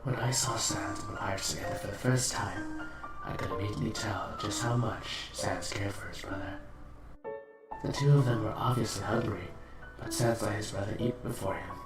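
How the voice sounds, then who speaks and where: A man's voice: fairly low pitch, normal speed, natural voice. A man playing a polite, apologetic, earnest bartender with formal, hesitant speech in a fan comic dub. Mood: wistful. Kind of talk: fan comic dub, dramatic acting with reflective narration. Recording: roomy, distant microphone, music behind.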